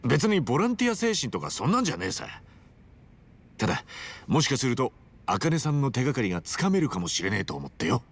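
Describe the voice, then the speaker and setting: A man playing an earnest, rough-spoken, big-hearted hero in a video game, husky and blunt. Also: mid-range voice, huge pitch swings, quick pace, heavily acted. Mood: eager, earnest. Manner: blunt, informal. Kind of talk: scripted Japanese video game dub.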